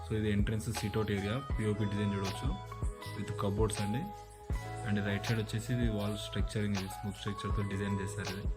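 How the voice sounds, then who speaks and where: A man's voice: lowish voice, flat delivery, fast talker, over loud music. A man from southern India with a Telugu accent, presenting a house walkthrough as a property agent in a matter-of-fact, explanatory way. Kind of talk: walkthrough narration of a house tour.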